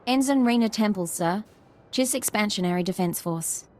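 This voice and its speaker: An actress playing an earnest young ensign in a video game, polite and dutiful in manner. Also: female voice, medium pitch, moderate pace, a little mellow, lightly acted. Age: young adult. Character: earnest, eager young female military ensign.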